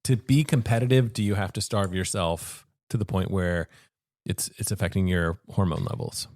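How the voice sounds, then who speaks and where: A man with a lowish voice chatting on a podcast, slipping deadpan quips into the conversation. The recording is dry and close, with no room sound. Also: no echo. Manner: joking, quick deadpan quips. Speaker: podcast co-host. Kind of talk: casual roundtable chat.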